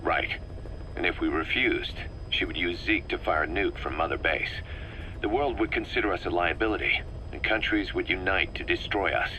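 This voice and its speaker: Game casting: embittered mercenary deputy, deep and hard-edged, intense explainer set on revenge. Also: male voice, normal speed, sharp tone, a little hiss or hum, rough recording. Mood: bitter briefing. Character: bitter, driven male mercenary second-in-command plotting revenge. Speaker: military business partner briefing his boss. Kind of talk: dramatic video game dialogue.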